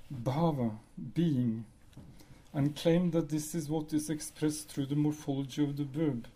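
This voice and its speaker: A man whose first language is Norwegian, giving a measured academic lecture with pauses and occasional fillers. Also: a little high, warm tone, rough recording.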